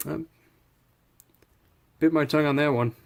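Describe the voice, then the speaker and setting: A man's voice: on the high side, fairly even intonation, unhurried, creaky, darkish tone, clean tone. A man doing a casual, unscripted product review, rambling informally and thinking aloud as he handles things. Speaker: product reviewer hosting a video channel. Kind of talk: casual unscripted unboxing chat.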